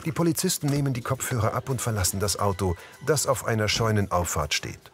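A man's voice: lowish voice, expressive, fast talker, music in the background. A man narrating scenes as an audio describer for a scripted television crime comedy.

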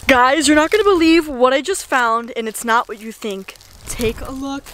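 A woman's voice: high voice, normal speed, a bit bright, slight background noise. A young woman chatting casually on an outdoor vlog, reacting with shock to finds. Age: young adult. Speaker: co-host. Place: overgrown railway tracks outdoors.

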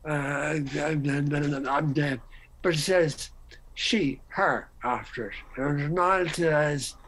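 Slow-paced man on a video call. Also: on the high side, fairly bright tone. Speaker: group participant. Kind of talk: casual questioning in a group chat. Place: indoors at home.

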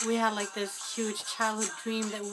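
Young woman in her twenties, webcam vlog from a small dim room, music clearly behind her, rambling and self-deprecating with many ums. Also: mid-range voice, flat delivery, normal speed. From Albania. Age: 20s. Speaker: guest vlogger. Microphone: webcam at close range.

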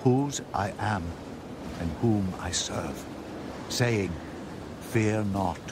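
A man's voice: lowish voice, extremely slow, noisy background. A man playing a steadfast apostle in a dramatized scripture reading, earnest and declamatory as he exhorts frightened shipmates. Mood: earnest, reassuring.

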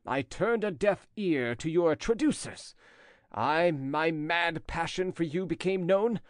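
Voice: medium pitch, lively intonation, slow, dry recording, clean studio sound, voice pushed up. A reader playing a haughty, menacing, declaiming nobleman. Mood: wrathful accusing. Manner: declamatory, sneering, theatrical. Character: haughty, jealous, menacing nobleman commander of old France.

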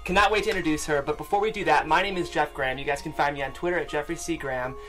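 A man's voice: a little high, steady tone, rapid-fire, fairly bright tone, soft background music. A young man hosting and reading a script, speaking casually into a close studio microphone. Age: young adult. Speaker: show host and stage-direction reader. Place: small podcast studio. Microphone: close studio microphone with foam windscreen.

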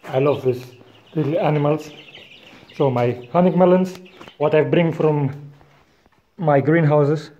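A man in his thirties gives an unhurried walk-and-talk tour of his farm, filming himself on a handheld phone. He talks in plain, non-native phrasing and chuckles often. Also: a little high, mellow, lo-fi sound, a little hiss or hum. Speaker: farmer vlogger. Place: outdoors on a hillside farm and inside a bird shed. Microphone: phone camera at arm's length.

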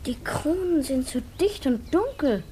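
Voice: high-pitched, expressive, a little slow, dark tone, slight background noise. A performer playing a curious, earnest young boy in a 1950s radio play, eager and questioning. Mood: curious, amazed.

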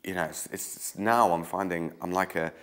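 A man in his thirties with a very low voice talks through a craft tutorial in a chatty way while his hands keep weaving. He is getting over a cold, so his voice sounds croaky. He is recorded in an old stone-walled room by a camera set across the table. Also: fairly even intonation, normal speed, a bit bright.

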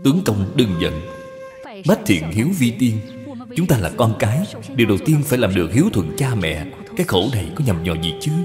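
A man's voice: lowish voice, very animated, fairly quick. Man reading as a patient polite wife in a folk tale cartoon, soothing, reverb and music. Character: gentle, dutiful, patient young wife counselling her husband. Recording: long reverb, music behind.

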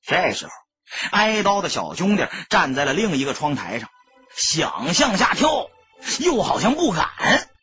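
A man narrating an audiobook in a dramatic, emphatic storyteller's style, with a husky, rough-edged voice. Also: sits high, unhurried. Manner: expressive, emphatic storytelling delivery. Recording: dull lo-fi audio, dry sound.

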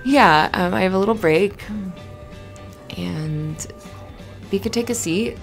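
A woman playing a cute, flustered, flirty barista in an ASMR roleplay, kind and giggly, with halting, rambling talk. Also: medium pitch, creaky. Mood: shy, hopeful. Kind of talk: ASMR roleplay, conversational acting. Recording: music under the voice. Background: music.

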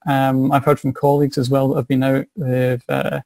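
Scottish young man, teacher, mellow voice, dry sound, eager and repetitive, webcam call. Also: mid-range voice, monotone, moderate pace, vocal fry, no room sound. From Scotland. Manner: eager, repeats words as he thinks. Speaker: science and maths teacher. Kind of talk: casual interview answers. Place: small home office. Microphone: video call webcam.

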